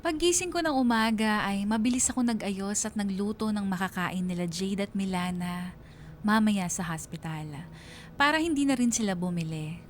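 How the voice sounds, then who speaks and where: A woman's voice: mid-range voice, moderate pace, slight background noise, close to an everyday voice. A woman playing a reflective, bereaved young woman narrating her own love story in a radio drama. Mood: sad, reflective. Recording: music behind the voice.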